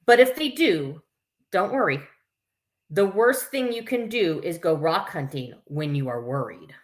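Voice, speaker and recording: A woman's voice: lowish voice, expressive, a little slow. A woman hosting a live story time for children, reading aloud with informal, chatty asides. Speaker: camp staff story time host.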